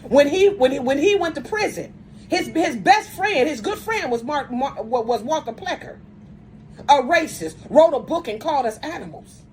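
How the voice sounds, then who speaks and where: Woman, angry rant to camera, confrontational, blunt, stumbling restarts, higher voice, faint background noise. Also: expressive, moderate pace, low-quality recording. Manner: says she has a strong voice. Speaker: video commentator.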